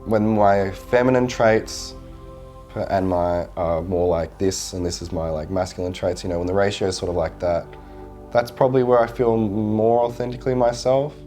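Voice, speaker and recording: Deep, mellow, hesitant man. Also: steady tone, faint music behind. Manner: hesitant, with restarts and pauses. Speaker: interviewee. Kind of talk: reflective documentary interview.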